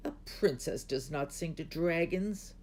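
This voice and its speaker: A man's voice: a little high, unhurried, voice pushed up, lightly acted. A man voicing a stern, prim, scolding woman tutor in a children's storybook. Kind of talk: children's storybook read aloud.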